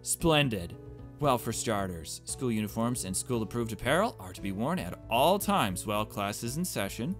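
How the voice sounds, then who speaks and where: A man's voice: medium pitch, big pitch swings, normal speed, soft background music, natural voice. A man voicing a polite, chirpy creature headmistress with a prim, formal manner in a visual novel game.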